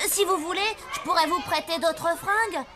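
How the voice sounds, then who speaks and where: A woman voicing a friendly, slangy, plucky schoolboy in an animated cartoon dub. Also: very high-pitched, moderate pace, crowd noise. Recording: dialogue over loud music and children's hubbub.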